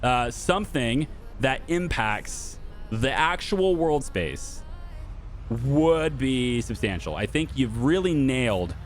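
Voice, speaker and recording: A man with a medium-pitched voice hosts a gaming video. He rambles casually, thinking aloud as he speaks straight to his audience in unscripted commentary. Music plays behind his voice. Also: animated, slow. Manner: chatty, rambling, thinking aloud, addressing viewers and developers directly. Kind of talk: casual off-the-cuff game commentary to viewers.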